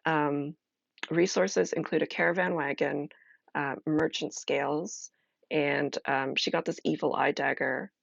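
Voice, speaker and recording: A woman streamer chatting casually as she explains a solo role-playing game, halting and thinking aloud. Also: deep voice, fairly even intonation, creaky, no echo, highs cut off.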